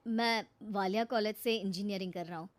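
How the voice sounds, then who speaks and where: A woman's voice: mid-range voice, fairly even intonation, brisk, close to an everyday voice. A woman voicing a respectful, earnest young man, a student, in a cartoon moral story. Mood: polite.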